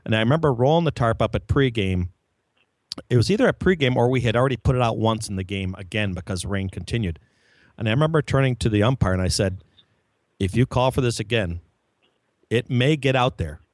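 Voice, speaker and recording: A man's voice: mid-range voice, animated, brisk, dry recording, clean studio sound. A middle-aged man hosting a casual chat, telling long rambling stories about his old grounds crew. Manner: rambling, many restarts. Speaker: podcast host, former head groundskeeper.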